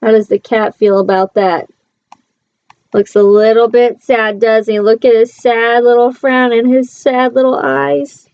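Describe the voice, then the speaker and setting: Lady doing lively storytime for kids, high voice, asks questions, slightly muffled sound. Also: a little slow. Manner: expressive, addresses young listeners with questions. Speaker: teacher reading aloud to children. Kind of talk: animated storybook narration with asides.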